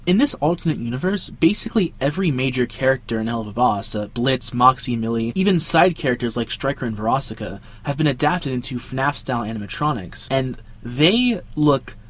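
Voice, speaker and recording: A young man hosting a video essay with casual scripted narration and dramatic emphasis. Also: mid-range voice. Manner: dramatic emphasis with jokey asides. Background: background music.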